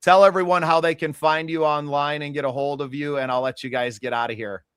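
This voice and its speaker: A man's voice: a little high, fairly even intonation, brisk, fairly bright tone, clear, resonant tone, no room sound, studio quality. A man from the United States hosting a podcast, talking in an earnest and reflective way during a casual interview. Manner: earnest, reflective, with some restarts. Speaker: podcast host.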